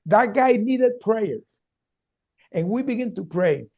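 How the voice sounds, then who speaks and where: A man's voice: high-pitched, lively intonation, slow pace, creaky, darkish tone, muffled recording. A man from Venezuela, telling his story earnestly as a keynote speaker and often restarting his words. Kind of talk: storytelling talk on a video call.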